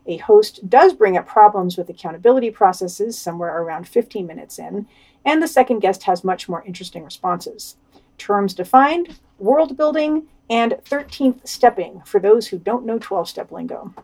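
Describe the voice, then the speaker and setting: A woman's voice: medium pitch, expressive, normal speed, darkish tone, recorded from a distance. A woman reading scripted podcast commentary in an informal, opinionated manner. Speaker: podcast reader.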